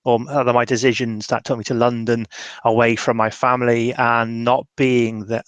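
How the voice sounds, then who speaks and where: A man playing a tormented, bitter mage in an improvised tabletop roleplay, speaking haltingly and emotionally, full of regret. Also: medium pitch, level tone, clean tone, no echo, close to an everyday voice. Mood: haunted, intense. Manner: halting, emotional, swearing, trailing off mid-sentence.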